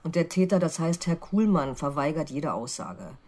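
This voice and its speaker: Very low, husky female investigator. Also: animated, normal speed, a little mellow. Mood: probing, stern. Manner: terse, probing, matter-of-fact. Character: stern, impatient female criminal investigator. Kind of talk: naturalistic German radio crime drama acting.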